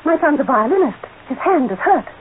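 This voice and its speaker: A woman's voice: high-pitched, sing-song, fairly quick. A woman playing an anxious, protective middle-aged mother in old radio melodrama, fretful and protesting. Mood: worried protest. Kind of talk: 1940s radio melodrama acting. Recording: noisy, tinny old radio recording.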